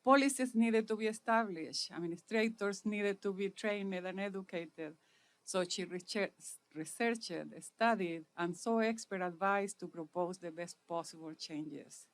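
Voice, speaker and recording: Middle-aged Chilean woman, Spanish native, formal ceremony speaker, deliberate phrasing, mid-pitched. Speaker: speaker at an inauguration. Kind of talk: formal prepared speech.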